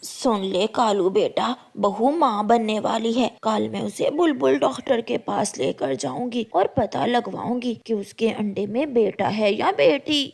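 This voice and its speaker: A woman's voice: on the high side, lively intonation, measured pace, vocal fry, hardly any pauses, darkish tone, husky, full character voice. A woman voicing a spiteful, impatient old crow mother-in-law in a cartoon story, nagging and commanding. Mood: bossy. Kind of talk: Hindi cartoon story acting.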